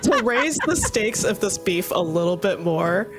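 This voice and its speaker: A woman's voice: mid-range voice, huge pitch swings, measured pace, soft background music. A woman bantering casually and playfully as a player at a tabletop game. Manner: playful, laughing. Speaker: tabletop role-playing player.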